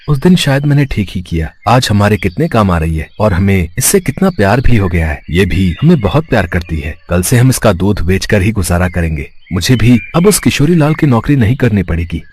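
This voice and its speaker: Man acting kind poor villager, husky lowish voice, gentle, earnest, trusting, storybook hero. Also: very animated, moderate pace. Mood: grateful.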